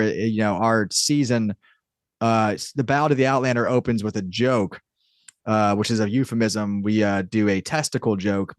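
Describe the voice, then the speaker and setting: A man with a fairly low voice talks casually as an interview guest. He explains at length, rambling and cutting into his own sentences. The sound is very dry, with no room around it. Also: no room sound. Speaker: podcast guest, audio drama creator and writer.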